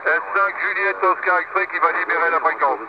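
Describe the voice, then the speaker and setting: A man talking haltingly as an amateur operator over single-sideband shortwave radio, often repeating himself. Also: high-pitched, fairly even intonation. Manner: halting, repetitive, tags sentences with hein. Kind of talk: casual radio exchange with call signs. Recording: muffled shortwave radio with static. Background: radio noise.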